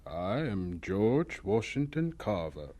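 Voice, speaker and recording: A wry, dignified elderly American scientist in a radio drama. His mellow voice is fairly low and unhurried, rising and falling expressively as he tells his folksy recollections. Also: sing-song. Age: older.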